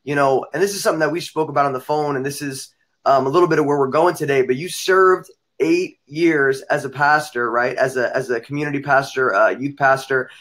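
A man's voice: medium pitch, brisk, fairly bright tone. A man of thirty hosting an interview show, chatty and enthusiastic. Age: 30s. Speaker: host. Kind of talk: casual interview chat.

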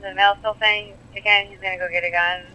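A man's voice: sits high, level tone, fast talker, sharp tone, rough recording. A man relaying clipped police radio traffic, heard through a scanner speaker. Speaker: police radio dispatcher or officer.

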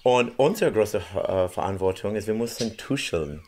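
An American man in his thirties chats animatedly on a sofa, his German halting and sprinkled with English words. His voice sits fairly low for a man. He was recorded indoors in a small room. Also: lively intonation, darkish tone. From United States. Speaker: guest singer. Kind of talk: casual interview chat.

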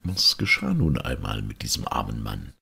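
A man with an extremely deep, husky voice narrates a fairy tale. He takes his time and delivers it theatrically, with wide swings in pitch. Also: wildly swooping pitch, pushed and bright. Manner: theatrical, deliberate. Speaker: storyteller, reader.

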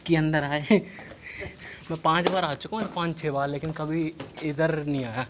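A man vlogging on a phone while exploring old stone ruins, excited and exclaiming as he jokes with friends. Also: a little high, normal speed. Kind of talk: casual handheld vlog chatter. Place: old stone ruins and dark passages outdoors. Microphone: handheld phone camera. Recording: noisy outdoor phone audio.

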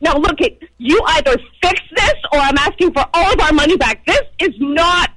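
Very high-pitched, exasperated woman. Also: expressive, moderate pace, rough recording. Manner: exasperated, emphatic. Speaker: prank call victim, customer. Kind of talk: upset phone complaint.

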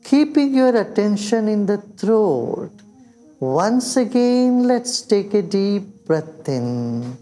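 Older man, Indian accent, high mellow voice, guided meditation, soothing, long pauses, background music. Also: sing-song. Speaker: meditation teacher. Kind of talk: guided meditation in English and Hindi.

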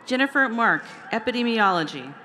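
A woman reading graduates' names and degrees at a commencement ceremony in a large outdoor stadium, pronouncing each name carefully and deliberately. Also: on the high side, lively intonation, sharp tone, slight background noise.

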